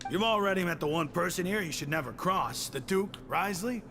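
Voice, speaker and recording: Cocky know-it-all inmate from a video game, swoopy theatrical delivery, music behind him. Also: male voice, high voice, expressive, brisk, sharp tone, heavily acted. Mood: conspiratorial. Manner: boastful, conspiratorial, theatrical. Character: cocky, self-important gossiping male inmate, eager show-off.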